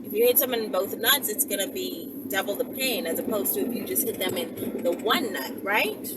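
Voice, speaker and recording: A woman's voice: mid-range voice, moderate pace, heavy background noise. A woman video host chatting casually and rambling to viewers in a blunt, emphatic, profane, conversational way.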